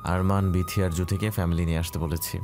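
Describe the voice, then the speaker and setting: Male actor as dutiful eldest brother in an audio drama, low bass voice, composed and explanatory, music behind. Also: level tone, fast, darkish tone. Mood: calm, earnest. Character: calm, dutiful head-of-family elder brother explaining his marriage. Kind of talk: Bengali audio story acting. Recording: music clearly playing behind.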